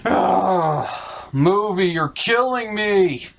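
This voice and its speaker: A woman's voice: mid-range voice, animated, drawn-out words, gravelly, slight background noise. A woman giving scripted comedic movie commentary with a sarcastic, exasperated manner. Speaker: comic movie reviewer.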